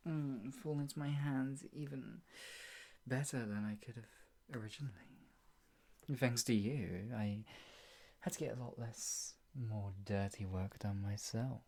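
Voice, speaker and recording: A performer acting a smug, possessive yandere kidnapper in an improvised ASMR roleplay, teasing with chuckles, sighs and breathy whispers. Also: medium pitch, lively intonation, a little slow, mellow. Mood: sly, smug.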